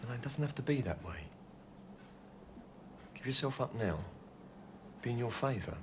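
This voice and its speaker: A firm, persuasive cop, voice moves a lot, clear noise behind. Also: male voice, expressive, a little fast. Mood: coaxing. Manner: firm, persuasive, low and urgent. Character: steady male police officer held hostage, coaxing gunman.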